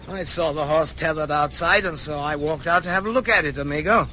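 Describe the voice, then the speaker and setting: A man's voice: a little high, a little fast, pushed and bright, a little hiss or hum. An actor playing a weary, drink-sodden Englishman abroad who answers questions evasively in formal, mannered phrases. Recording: dull lo-fi radio drama.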